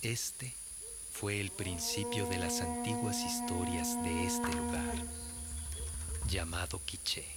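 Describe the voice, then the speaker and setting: A man's voice: low voice, big pitch swings, unhurried, over loud music. An actor playing a male teller of ancient origin histories in scripted radio drama narration. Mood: solemn. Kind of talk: scripted myth narration in Spanish.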